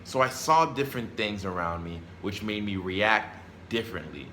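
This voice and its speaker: A man's voice: fairly low pitch, expressive, moderate pace, pushed and bright, slight background noise. A man giving a rambling, blunt motivational talk, casual and sweary, repeating phrases for emphasis. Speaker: self-help vlogger.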